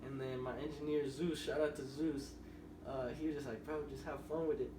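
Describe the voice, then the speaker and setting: A man's voice: mid-range voice, little pitch movement, a little fast. A young man, a musician guest on a podcast, telling loose, rambling stories in casual conversation. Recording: roomy, distant mic with noise.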